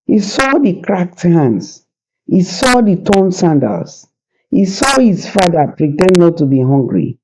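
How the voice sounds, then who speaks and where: A storyteller narrating a folktale in a slow, deliberate, suspenseful and dramatic way. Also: mid-range voice, big pitch swings, darkish tone, low-quality recording. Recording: hissy background noise.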